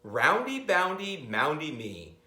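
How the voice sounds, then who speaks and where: A man's voice: on the high side, wildly swooping pitch, takes it slowly, bright, forceful tone, heavily acted. Man playing a giddy, boastful giant meatball. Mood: proud singing. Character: huge, round, boastful, giddy, oblivious singing meatball. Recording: roomy library, camera at a distance.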